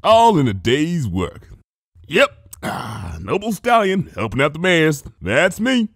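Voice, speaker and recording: A man's voice: fairly high pitch, wildly swooping pitch, slow pace, a bit bright, slightly rough, dry recording, studio quality, full character voice. A man voicing a cocky, easygoing male pegasus in a story reading, casual and swaggering. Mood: self-satisfied.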